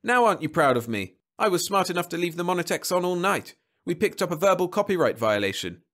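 A man's voice: a little high, lively intonation, normal speed, voice pushed up, natural voice. A man voicing a meek, hapless, henpecked husband with a plaintive, hesitant manner in a science fiction story. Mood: crowing.

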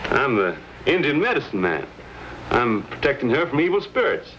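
An actor in his thirties playing a calm, wry frontier man in an old television western. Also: medium pitch, normal speed, dull audio, a little hiss or hum. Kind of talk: 1950s television western acting.

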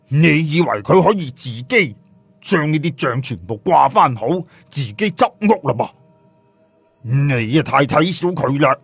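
A man's voice: mid-range voice, husky, dry recording. A man voicing a brash, scornful, streetwise fellow with a blunt, slangy, mocking manner in an audio story.

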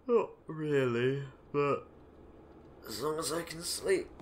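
A man's voice: on the high side, slow, a little hiss or hum. A man playing a sleepy, weary, unenthusiastic teenage boy in an amateur audio drama.

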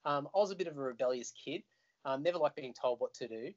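A man's voice: mid-range voice, quick pace. Chatty Australian man on a dull call line. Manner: animated, chatty storyteller who laughs easily. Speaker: guest musician. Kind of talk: casual video call chat. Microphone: video call.

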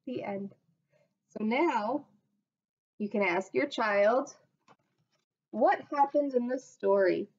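A woman in her thirties, a teacher, reading a picture book aloud on a webcam at home in a slow, expressive voice. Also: on the high side. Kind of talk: storybook read-aloud on webcam. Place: small room at home. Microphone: webcam at desk. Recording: dull lo-fi webcam audio.

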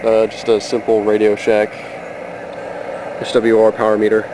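A man's voice: on the low side, monotone, moderate pace. A man giving a casual, unscripted demonstration of his hobby gear outdoors, hesitant and thinking aloud as he films with a handheld camera. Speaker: amateur radio hobbyist filming his gear. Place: outdoors in a yard. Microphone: handheld camera microphone. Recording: noisy handheld camera with background hum.

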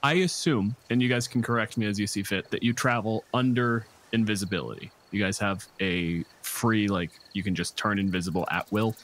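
A man's voice: lowish voice, steady tone, a little fast, hardly any pauses, a bit bright. A man narrating a tabletop role-playing game as game master in a conversational way, with dryly humorous asides. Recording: clear background noise. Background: night forest ambience with crickets and insects.

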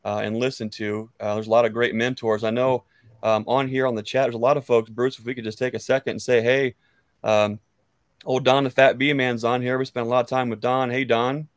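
A man's voice: mid-range voice, flat delivery, brisk, dry recording. An American man in his thirties, chatting casually in a friendly, meandering way with hesitations, close to the microphone. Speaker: beekeeper, guest on a live chat. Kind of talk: casual chat. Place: book-lined room at home. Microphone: close studio mic on an arm.

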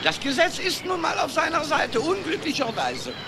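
A very high, swooping voice of an anxious, courteous cartoon official. He speaks cautiously over clear background noise. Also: huge pitch swings, moderate pace, crowd noise, heavily acted. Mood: apologetic, cautioning. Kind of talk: German cartoon audio play acting. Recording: noisy, busy background.

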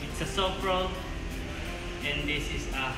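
Young man, slow halting delivery, informal explaining, roomy sound, loud background music. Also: a little high. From Philippines. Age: young adult. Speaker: crew member in charge of the food line. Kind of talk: informal show-and-tell explanation. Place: large indoor ship dining room and galley. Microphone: phone held by another person nearby. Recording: echoey room with loud music.

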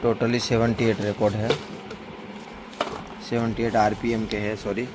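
A man with a fairly low voice narrates a product showcase in a very flat tone. His patter is plain and repetitive, like a casual seller's. It is a phone recording made indoors, with clear background noise. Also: measured pace.

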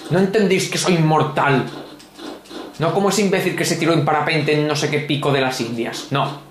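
A man's voice: fairly high pitch, fairly quick, reverberant. A man, giving sardonic, grumbling, mock-pompous gameplay commentary from a home room. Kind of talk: sarcastic ranting game commentary.

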